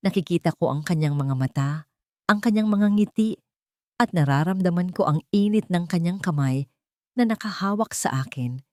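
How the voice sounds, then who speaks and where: A woman's voice: fairly low pitch, animated, normal speed, darkish tone, no echo, studio quality. A woman reading a scripted first-person romance story as a dramatic narrator.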